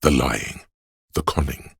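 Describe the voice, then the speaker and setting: A man voicing a grave, compassionate inner voice in a video game, narrating in a measured, solemn, confiding way with a gravelly, husky tone. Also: extremely low pitch, no echo, studio quality, heavily acted. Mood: grave, reproachful. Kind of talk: scripted game narration, literary and brooding.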